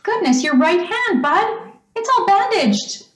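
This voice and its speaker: A woman acts out an emotional, doting mother in an old-time radio drama. Her voice sits high and moves slowly as she gushes and fusses. The room around her rings with echo. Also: very animated, fairly bright tone, heavily acted. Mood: fussing concern. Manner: gushing, fussing. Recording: echoey room.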